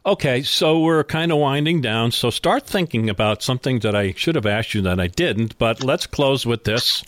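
A man's voice: mid-range voice, lively intonation, normal speed, a bit bright, no echo. A man hosting a radio business show, speaking informally and bluntly in a conversational interviewing style.

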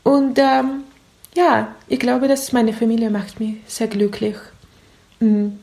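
A woman's voice: on the high side, level tone, slow pace, vocal fry, dark tone. A woman who is not a native German speaker, with a foreign accent, giving reflective interview answers in careful, searching phrases. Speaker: interview guest.

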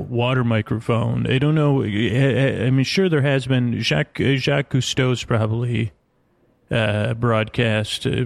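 A man with a creaky voice hosting a bedtime podcast, meandering haltingly through lulling tangents. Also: medium pitch, no echo. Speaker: podcast host. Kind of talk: rambling bedtime podcast monologue.

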